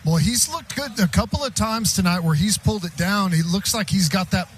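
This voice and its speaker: High-voiced male football color commentator. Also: brisk, slight background noise. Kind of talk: informal football analysis.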